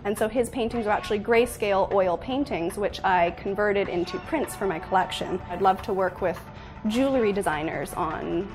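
A woman's voice: medium pitch, moderate pace. A young woman in her twenties, a designer, earnestly explaining her dresses in a studio interview. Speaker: fashion designer guest. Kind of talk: describing her work in an interview. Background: background music.

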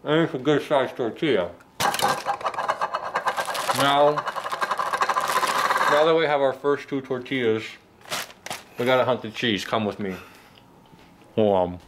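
A man, hosting a mock cooking tutorial in a halting, deadpan way. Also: medium pitch. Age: 20s. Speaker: host of a comedic cooking segment. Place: home kitchen indoors. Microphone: camera mic held by another person nearby. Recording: noisy camera audio, room noise.

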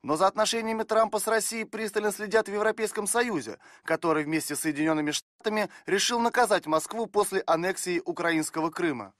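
Male news quote reader, high voice, rapid and dry. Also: a bit bright, no room sound. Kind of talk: scripted news voice-over reading.

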